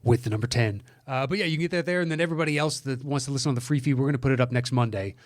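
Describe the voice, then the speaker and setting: A man's voice: mid-range voice, rapid-fire, dry recording. A man co-hosting a podcast, chatting casually in a rambling, sweary way. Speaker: podcast co-host.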